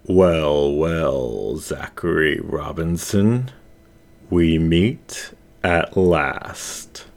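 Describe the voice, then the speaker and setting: Unseen avenger for a story reading, played by a male narrator: deep, very slow, deliberate, menacing. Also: vocal fry, full character voice. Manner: slow, drawn-out, taunting.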